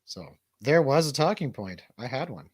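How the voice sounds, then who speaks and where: Lively man chatting as show host. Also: mid-range voice, normal speed, breathy edge, dry recording, studio quality. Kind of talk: casual chat.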